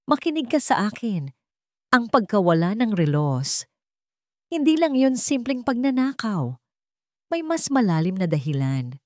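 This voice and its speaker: A woman's voice: medium pitch, very animated, no room sound, clean studio sound, pitched above the performer's own voice. A woman voicing a determined, protective doctor in a dramatic story, speaking firmly and earnestly. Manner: firm, earnest, deliberate.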